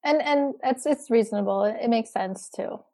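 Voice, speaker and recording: A woman's voice: fairly high pitch, moderate pace, warm tone. A woman hosting a casual interview, chatting informally and agreeably with her guest. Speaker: host, interviewer. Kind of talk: casual chat.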